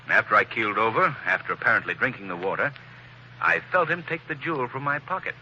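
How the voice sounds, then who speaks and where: An actor playing a calm, commanding male crime-fighter in a 1940s radio drama, with a smooth, assured, softly ominous manner. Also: mid-range voice, fast talker, highs cut off, a little hiss or hum. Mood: explaining.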